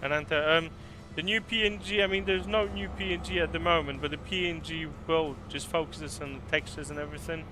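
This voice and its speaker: A man from Poland chatting casually on a live stream, answering viewers with a relaxed, rambling manner and many fillers. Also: a little high, fairly quick, few pauses, background noise. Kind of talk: casual live-stream chat while driving.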